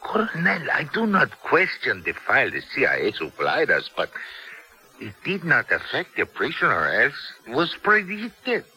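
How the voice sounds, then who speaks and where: An actor playing a sadistic Latin American army captain, sardonic and menacing, in a 1970s radio drama. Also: male voice, medium pitch, huge pitch swings, measured pace, bright, forceful tone, full character voice, rough recording. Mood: defensive.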